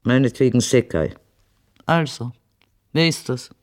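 An actress playing an imperious, sharp-tongued old Viennese mother in a radio play, haughty and deliberate. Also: extremely low pitch. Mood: sardonic, disapproving. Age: older. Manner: haughty, deliberate, probing with curt questions. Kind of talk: radio drama acting.

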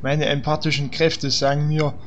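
A man voicing a slow-witted, fretful and naive adventurer in a German audio drama parody. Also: fairly high pitch, flat delivery, highs cut off, slight background noise, natural voice. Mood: earnest. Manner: slow, dim, repetitive.

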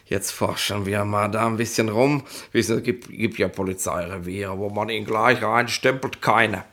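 An actor playing a pompous, bullying police inspector in a 1960s German radio play, rambling officiously and easily amused. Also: male voice, fairly low pitch, fairly even intonation, normal speed, fairly bright tone, close to an everyday voice. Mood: officious, musing. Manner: officious, rambling, keeps tagging on questioning words.

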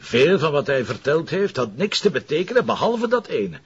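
An actor playing a decisive police commissioner in a TV crime drama, gruff at work and tender at home, speaking with a Flemish accent. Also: male voice, a little high, big pitch swings, moderate pace, lo-fi sound, exaggerated voice. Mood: firm, impatient. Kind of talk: naturalistic TV crime drama acting.

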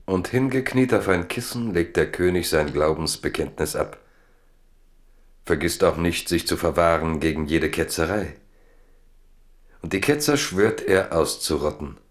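A low-pitched man narrates a literary radio drama. He tells the story in measured phrases, using dramatic pauses. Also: expressive.

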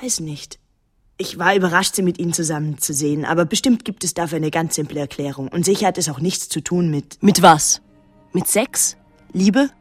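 Young woman in a radio play, pensive n detached, measured delivery, soft music behind. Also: on the low side, husky, no room sound. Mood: quiet, curious. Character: pensive young woman recalling her island summer, detached, introspective. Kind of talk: literary radio drama narration and dialogue. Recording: music under the voice.

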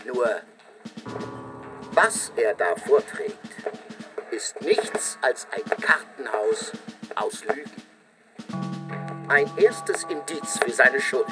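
A radio-drama criminologist, pompous and probing. His mid-pitched voice swings widely as he presses and lectures with emphatic insistence. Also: sing-song, takes it slowly, long pauses, over music, rough recording. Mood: lecturing, emphatic. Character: pompous, probing male criminologist interrogating a convicted killer. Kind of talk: German radio drama acting.

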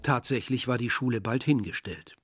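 Radio play narrator: male actor as reflective villager, medium pitch, measured literary storytelling. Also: a little hiss or hum, natural voice.

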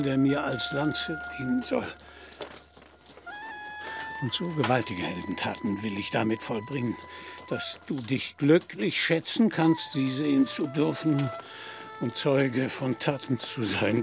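An actor playing a grandiose, deluded knight-errant in a radio play, declaiming pompously with a creaky voice. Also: male voice, mid-range voice. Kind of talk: literary radio play acting.

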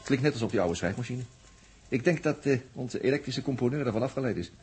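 A man's voice: medium pitch, lively intonation, fast talker, a little mellow, breathy edge, faint music behind. Rambling, musing spaceship crewman. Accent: Netherlands Dutch. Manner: rambling, hesitant, repeats himself. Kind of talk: 1960s Dutch radio drama acting. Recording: noisy 1960s radio recording.

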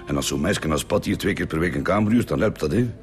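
A very deep, low-pitched Flemish hotel keeper in a radio drama, defensive and evasive. Faint music plays underneath. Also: male voice, fast. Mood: defensive, shifty. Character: evasive male hotel keeper questioned by detectives.

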